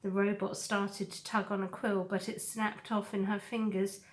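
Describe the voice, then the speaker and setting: A woman narrating a children's novel aloud, with measured and expressive storytelling. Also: mid-range voice. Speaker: storybook reader.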